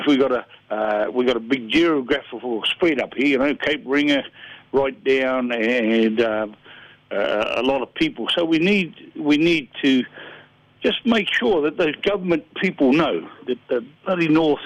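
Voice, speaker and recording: A man's voice: mid-range voice, measured pace, no room sound. An older man with a New Zealand accent, speaking earnestly and plainly as a council candidate in a phone interview. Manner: earnest, plain-spoken, searching for words. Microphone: telephone. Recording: muffled phone line.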